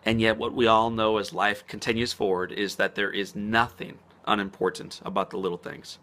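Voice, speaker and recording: A man speaking as a motivational speaker in an inspirational vlog. He talks earnestly and slows down to stress key words. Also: fairly low pitch, little pitch movement, fairly bright tone. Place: outdoors on a spring morning.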